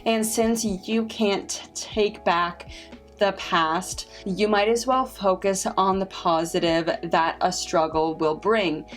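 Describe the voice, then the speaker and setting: A woman in her twenties with a stutter, talking casually and reflectively to camera as a vlogger, with frequent repeated sounds and restarts. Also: medium pitch. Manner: slow, reflective and encouraging.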